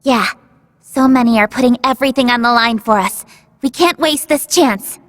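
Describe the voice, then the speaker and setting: A woman's voice: fairly high pitch, normal speed, big-room sound, natural voice. An actress playing a thoughtful, composed young female teammate in a video game cutscene. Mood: determined. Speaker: voice actor.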